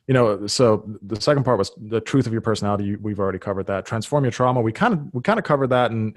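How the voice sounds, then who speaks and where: A man's voice: fairly low pitch, no echo, clean studio sound. A man whose first language is Serbo-Croatian, hosting a podcast and talking earnestly in casual conversation, thinking aloud with restarts.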